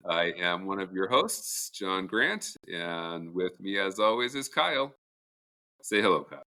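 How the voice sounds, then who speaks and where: A man co-hosting a podcast in an informal, conversational way, with halting, pause-filled introductions. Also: low voice, very animated, pushed and bright. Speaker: podcast co-host.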